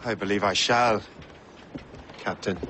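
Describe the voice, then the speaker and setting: Tired irish ship captain for a video game, measured and plain, lots of noise behind him. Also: male voice, fairly low pitch, fairly even intonation, pushed and bright. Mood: composed, dry. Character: weary, resolute male ship captain, former soldier of war. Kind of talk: video game cutscene acting.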